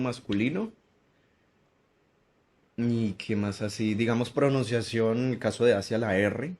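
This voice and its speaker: Easygoing man with a Colombian accent. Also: lowish voice. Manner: friendly, laughs often, easygoing. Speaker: guest. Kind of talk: casual conversation.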